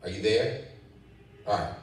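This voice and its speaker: Low-voiced pastor in an echoey hall. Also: male voice, a little slow, far from the mic. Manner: casual, repeats questions to the crowd. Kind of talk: sermon talk with the congregation. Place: church hall. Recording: echoey church hall, mic from afar.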